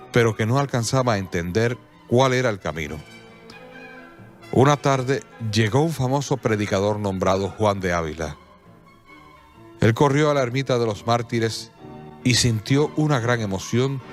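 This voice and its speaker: Male narrator, low voice, measured and solemn, faint music. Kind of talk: scripted radio drama narration.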